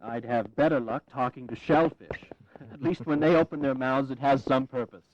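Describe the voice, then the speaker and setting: A man's voice: medium pitch, moderate pace, no echo, natural voice. An actor playing an indignant, impatient, eloquent New England congressman in a historical audio drama, exasperated and declamatory. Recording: dull 1980s audio drama recording.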